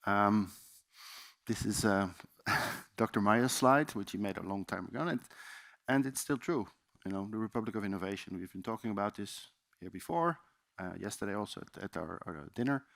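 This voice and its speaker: A man's voice: lowish voice, expressive, moderate pace, vocal fry, a bit bright, no echo. A man with a Dutch accent giving a loose, chatty seminar presentation in a conference room, with many fillers and restarts. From Netherlands. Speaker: conference speaker.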